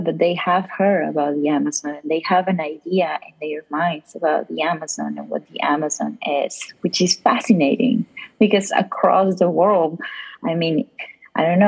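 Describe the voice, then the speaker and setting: A woman's voice: low-pitched, a little mellow, husky, low-quality recording. A woman from Peru with a Peruvian Spanish accent, explaining things conversationally and discursively as an interview guest, often checking in with the listener. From Lima, Peru. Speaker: professor, podcast guest.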